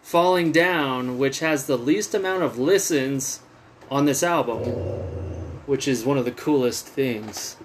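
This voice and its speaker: A man with a medium-pitched voice hosts a casual music chat. He talks in loose, slangy phrases and will mouth out riffs and drum parts. There is noticeable noise behind him. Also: a little slow. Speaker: host of a music album discussion show. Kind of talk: casual chat, album commentary.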